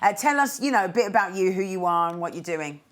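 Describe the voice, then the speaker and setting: A woman's voice: on the low side, animated, fast. A woman hosting a TV magazine show, teasing and laughing often as she interviews a guest. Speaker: TV host.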